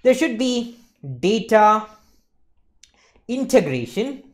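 A man's voice: very high-pitched, expressive, slow pace. A young man giving an explanatory lecture, emphatic and often checking in with listeners. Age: young adult. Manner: emphatic, repeats checking tags like "okay, folks" and "isn't it". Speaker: accountancy lecturer. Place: indoor lecture studio.

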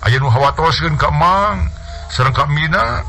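A male storyteller playing a long-winded village uncle who lies smoothly to his niece. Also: fairly high pitch, unhurried, sharp tone, slight background noise, voice pushed up, exaggerated voice. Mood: wheedling. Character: lying middle-aged village uncle, smooth-talking.

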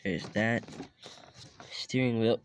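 A man casually naming items as he films a cardboard model house on a handheld phone indoors, in short halting phrases. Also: fairly even intonation. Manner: halting, plain listing of items, some low mutters. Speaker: vlogger showing a cardboard house. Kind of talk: casual show-and-tell narration. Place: indoors, small home room. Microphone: handheld phone camera.

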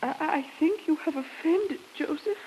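An actress playing a fragile, anxious young newlywed wife in a 1950s radio drama, plaintive and tremulous. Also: female voice, high voice, moderate pace, a little mellow, a little hiss or hum, lightly acted, low-quality recording. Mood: tearful, hesitant.

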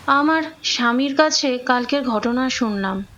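An actress playing a village wife in a horror audio drama, who is prey to an evil spirit. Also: female voice, a little slow, fairly bright tone. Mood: polite, subdued. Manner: shifts between polite speech and shrill threats. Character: gentle village wife, possessed by a shrieking, defiant evil spirit. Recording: dull audio under music.

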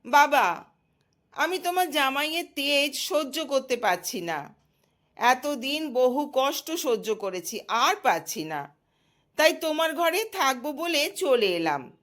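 A woman's voice: high voice, very animated, slow pace, fairly bright tone, heavily acted. A woman storyteller voicing a distressed young goddess, a weary wife and daughter, in a plaintive, dramatic way. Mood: pleading, weary.

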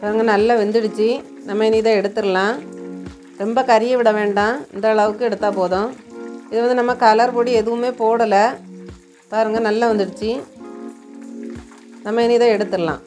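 A woman's voice: on the high side, steady tone, normal speed, pauses often, darkish tone, faint music behind. A woman narrates a recipe step by step in a plain, homely, colloquial way. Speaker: home cooking channel host.

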